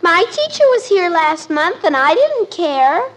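An actress playing a pert, nosy little sister with blunt, childlike delivery in a 1950s radio comedy. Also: female voice, extremely high pitch, sing-song, unhurried, full character voice. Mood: eager, blunt. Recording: very noisy old radio broadcast.

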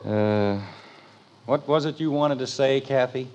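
A man's voice: mid-range voice, measured pace, darkish tone, muffled recording, slight background noise, natural voice. A man playing an exasperated, lecturing father in an old-time radio sitcom, with a dry, put-upon manner.

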